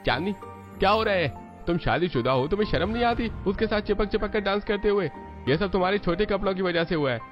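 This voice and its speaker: A man's voice: sits high, lively intonation, fast. A man playing a stern young husband in a cartoon, scolding angrily. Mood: angry, scolding. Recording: dull lo-fi sound under clear music.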